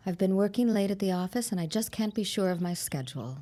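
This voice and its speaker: A woman's voice: medium pitch, animated, quick pace, dark tone, lightly acted. An actress playing a haughty, spiteful woman in a period audio drama, with a cool, cutting, disdainful manner. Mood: cool, dismissive.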